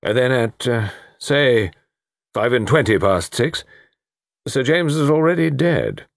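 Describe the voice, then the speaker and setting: A man playing a brisk, stern, lively-pitched colonel. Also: creaky, dry recording, studio quality. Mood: probing. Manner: hesitant "uh" pauses, clipped official questioning. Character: brisk, stern middle-aged male chief constable, a colonel.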